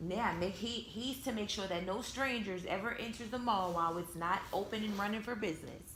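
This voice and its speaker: A woman reading a storybook aloud to young children, expressively, pausing to retell and explain it in simple words. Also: medium pitch, fairly bright tone. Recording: echoey room with some background noise.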